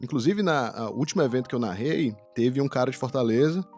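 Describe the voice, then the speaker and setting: A man's voice: mid-range voice, lively intonation, fairly quick, darkish tone, faint music behind. A man with a mild Cearense accent from northeastern Brazil, chatting casually and candidly as a podcast guest, with slang and the odd swear word. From Ceará, northeastern Brazil. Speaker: podcast guest, event producer and fight commentator.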